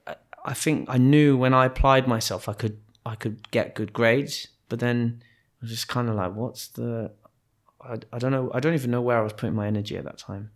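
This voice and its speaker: A man born in Kenya, a podcast guest, telling his life story in a hesitant, reflective way with many restarts and fillers. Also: mid-range voice.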